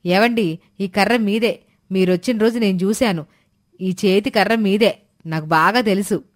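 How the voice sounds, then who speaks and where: A woman's voice: medium pitch, normal speed, close to an everyday voice. Woman playing a scolding, insistent village wife. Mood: insistent, questioning. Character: villager's nagging, questioning wife. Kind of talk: acted dialogue in a moral story.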